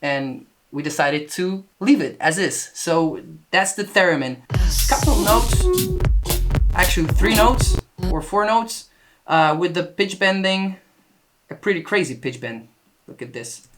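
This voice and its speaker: A man's voice: high voice, animated, a little slow. A man who produces music, explaining a track in a casual tutorial style. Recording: music playing behind the voice.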